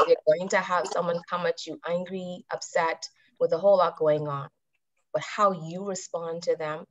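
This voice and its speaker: A woman in her thirties giving a calm, measured talk to a club over a video call and putting questions to her listeners. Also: on the low side. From Bahamas. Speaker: club speaker. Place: indoors with a virtual background. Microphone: webcam on a video call. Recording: dull video-call audio.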